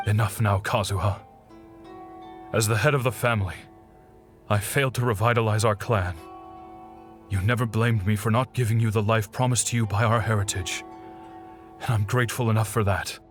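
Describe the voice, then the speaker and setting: An actor playing a grave, middle-aged father and clan head, speaking in a measured, resigned way in a video game drama. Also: lowish voice, steady tone, soft background music, lightly acted. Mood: resigned, tender.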